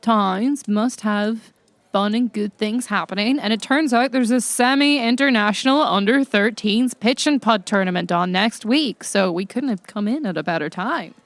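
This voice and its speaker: A woman's voice: medium pitch, normal speed, no echo. A woman chatting casually and politely asking questions as a player in an improvised comedy roleplay game.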